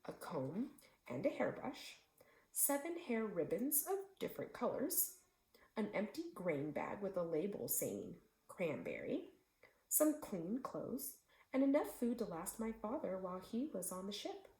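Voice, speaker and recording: A woman reads a children's story aloud with a lively, swinging pitch. She is expressive and speaks to young listeners as she goes. The room around her adds a clear echo. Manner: expressive, pausing to ask listeners questions. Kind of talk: children's book read-aloud with asides.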